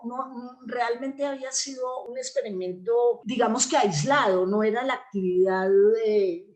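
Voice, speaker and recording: A woman's voice: fairly high pitch, darkish tone. A woman answering interview questions in an explanatory, discursive way. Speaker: interview guest, theatre actress and playwright.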